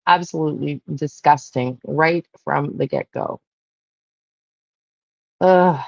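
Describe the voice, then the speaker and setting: Slow, emphatic true crime commentator. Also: medium pitch, creaky, no room sound, muffled recording. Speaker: true crime channel host commenting on the interview. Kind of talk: reaction commentary.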